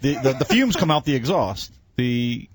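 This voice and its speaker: A man's voice: medium pitch, sing-song, moderate pace, no echo. A man co-hosting a radio show in casual chat, answering questions tentatively and thinking aloud.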